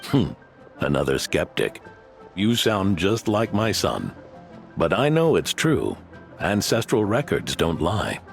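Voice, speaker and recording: An actor playing a proud, indignant old traditionalist innkeeper in a video game, grumbling emphatically. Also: male voice, low voice, wildly swooping pitch, slow pace, creaky, soft background music, full character voice. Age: older.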